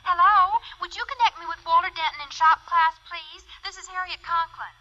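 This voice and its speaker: A woman's voice: very high voice, steady tone, brisk, pushed and bright. An actress playing a chirpy teenage schoolgirl in an old-time radio comedy. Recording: dull old radio recording.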